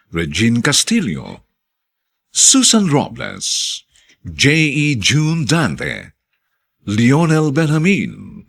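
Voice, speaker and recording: A man with a Filipino accent narrating a radio drama theatrically, with a gravelly, husky voice. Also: medium pitch, a bit bright. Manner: theatrical, drawn-out delivery. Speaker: narrator and announcer.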